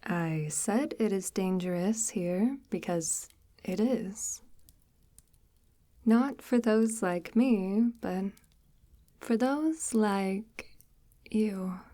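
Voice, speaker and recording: A woman playing a coaxing, sinister witch of the woods in an ASMR audio roleplay, breathy and often near a whisper, speaking formally and with gentle menace. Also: mid-range voice. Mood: quietly ominous. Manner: unhurried, formal, archaic-tinged, gently menacing. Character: soft-spoken, sinister, coaxing forest witch luring a lost traveler. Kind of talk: ASMR audio roleplay, slow intimate monologue.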